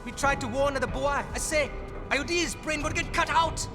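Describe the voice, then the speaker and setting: A man's voice: very high voice, normal speed. An actor playing a scrappy, streetwise teenage boy with a Guyanese Caribbean accent in a video game cutscene. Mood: defiant, earnest. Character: scrappy streetwise teenage boy, boastful but good-hearted. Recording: long reverb, music behind.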